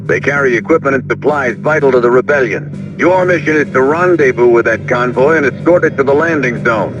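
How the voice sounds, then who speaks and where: Actor as commanding rebel general over dull game audio. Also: male voice, medium pitch, over music, lightly acted. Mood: grave, commanding. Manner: measured, commanding. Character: authoritative rebel general briefing his fighter pilots. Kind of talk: scripted military mission briefing.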